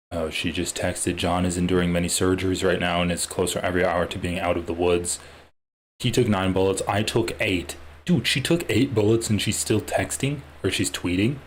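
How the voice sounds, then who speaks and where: A man's voice: low voice, a little hiss or hum. A man with a Midwestern American accent hosting a casual political livestream, sarcastic and full of swearing. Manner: profane, mocking, sarcastic.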